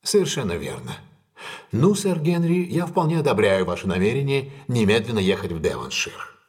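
An actor playing a composed, decisive gentleman detective in an audio drama, speaking with measured, courteous authority. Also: medium pitch, big pitch swings, close to an everyday voice.

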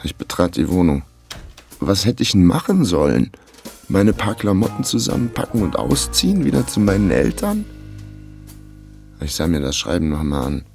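A very low, gravelly male narrator in a radio crime drama. He is a broke young Berliner recounting his mishaps with laconic self-irony. Faint music sits behind the voice. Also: darkish tone, exaggerated voice. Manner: dry, laconic, self-ironic. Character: wry broke young Berlin man telling his own misadventure. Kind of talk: first-person radio play narration.

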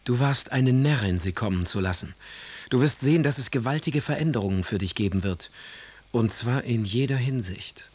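An actor playing a cruel, scornful young aristocratic poet, haughty and cutting, in a radio drama. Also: male voice, mid-range voice, lively intonation, normal speed, a little mellow, husky. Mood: cold, cutting. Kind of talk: German radio drama acting.

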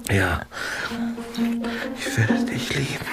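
An actor playing a blunt, reserved but tender lover in a radio drama, speaking tersely and intimately with a gravelly voice. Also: male voice, lively intonation, slow, over loud music, full character voice. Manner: terse, intimate, low-key. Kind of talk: naturalistic radio drama dialogue.

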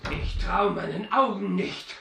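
Outraged, high-pitched older theatre master. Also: male voice, a little slow, gravelly, sharp tone, distant mic, heavily acted. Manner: declamatory, indignant. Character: betrayed, furious older theatre master and husband. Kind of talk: German radio play acting. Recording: roomy, noisy radio drama recording.

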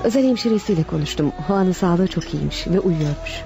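A woman's voice: fairly low pitch, animated, brisk, creaky. A woman acting in a radio play as a seductive, somewhat impatient unfaithful wife with a coaxing manner. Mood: flirtatious. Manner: coaxing, flirtatious.

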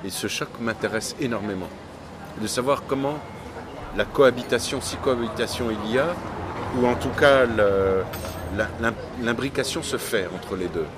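A man's voice: medium pitch, normal speed, background noise. A man from France chatting casually outdoors, hesitant and curious. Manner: hesitant, many fillers and restarts, curious. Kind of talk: casual street conversation. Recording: clear crowd behind.

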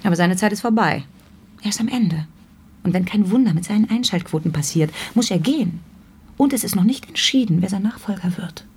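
An actress playing a cool, manipulative television boss in a German radio drama, intimate yet bossy. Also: female voice, mid-range voice, lively intonation, a little fast, a little mellow, breathy edge. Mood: cool, calculating. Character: cool, commanding female TV boss, seductive and manipulative.

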